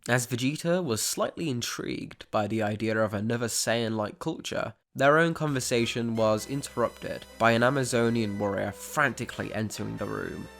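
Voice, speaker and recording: A man's voice: medium pitch, normal speed, gravelly, few pauses, fairly bright tone. Male story narrator over music. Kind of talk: scripted dramatic story narration.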